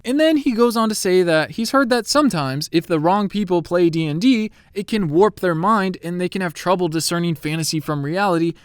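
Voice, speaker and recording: A man's voice: high voice, a little fast, hardly any pauses, smooth, no echo. A young man, a bit sniffly, giving casual, eager commentary to his viewers as a YouTube creator. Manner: chatty and eager.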